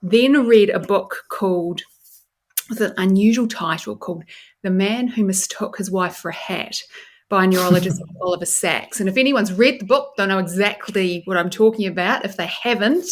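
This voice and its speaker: New Zealand woman, expressive explainer. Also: medium pitch, moderate pace. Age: 40s. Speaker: podcast guest, neuroscientist. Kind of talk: conversational interview, explaining and storytelling.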